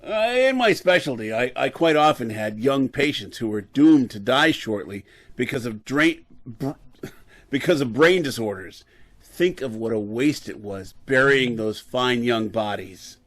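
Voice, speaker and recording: A man's voice: medium pitch, expressive, a little slow. A man playing an embittered, drunken, disgraced professor and brain surgeon in an old-time radio drama, lecturing and faltering over his words.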